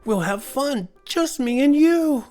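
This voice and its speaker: A man's voice: very high-pitched, lively intonation, slow, warm tone, soft background music, pitched above the performer's own voice, heavily acted. A man voicing a small, gleeful storybook creature with an exuberant singsong nursery-rhyme delivery. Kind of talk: storybook character voice.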